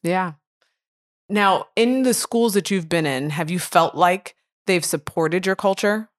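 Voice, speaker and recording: A woman hosting a podcast interview, asking gentle, measured questions. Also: fairly low pitch. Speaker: podcast host.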